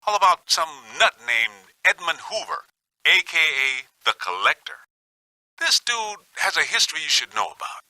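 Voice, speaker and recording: A man's voice: a little high, sing-song, normal speed, pushed and bright, no echo, heavily acted, low-quality recording. A man playing a streetwise, wisecracking ghost hunter in a video game, speaking in a colloquial, wry, plain-spoken way. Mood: informative, casual. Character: streetwise, wisecracking male ghost-hunting team member.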